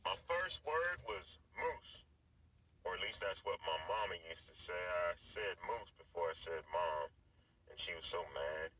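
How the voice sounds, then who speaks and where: A man playing a worn-down, defensive family man who answers in a quiet, guarded voice. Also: sits low, expressive, a little slow, slightly rough, distant mic, full character voice, low-quality recording. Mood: reflective. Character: weary, defensive middle-aged father. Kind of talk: dramatic scene reading.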